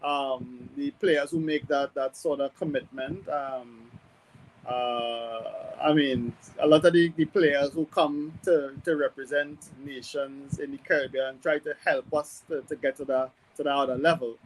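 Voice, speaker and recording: A man giving conversational sports analysis as a guest, hesitant and measured as he thinks aloud. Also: mid-range voice, steady tone, slight background noise, low-quality recording. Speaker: football pundit guest.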